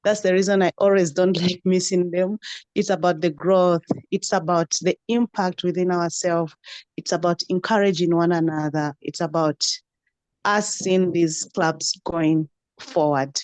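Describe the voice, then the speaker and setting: A woman's voice: fairly low pitch, fairly even intonation, unhurried, darkish tone, dry recording. A woman speaking as a mentor on a panel, earnest and encouraging, building her point in repeated phrases. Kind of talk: earnest spoken testimony on a group call.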